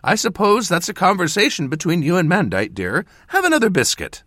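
Male narrator putting on a high, excited older mother figure, effusive, dry close sound. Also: fairly quick, no echo, exaggerated voice. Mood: gushing. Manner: effusive, exclaiming. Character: warm gushing elderly farm mother.

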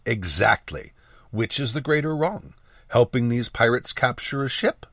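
A man's voice: mid-range voice, lively intonation, unhurried, a bit bright, dry recording, clean studio sound. A man as an evasive, reasoning mage teacher. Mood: persuasive. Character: male mage teacher, evasive, persuasive, morally compromised.

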